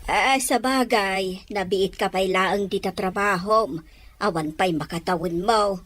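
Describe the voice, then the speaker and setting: A woman's voice: medium pitch, unhurried, a bit bright, no echo. A woman playing a fond, pleading provincial mother in an audio drama, with a Filipino accent. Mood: worried, coaxing. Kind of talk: Ilocano audio drama acting.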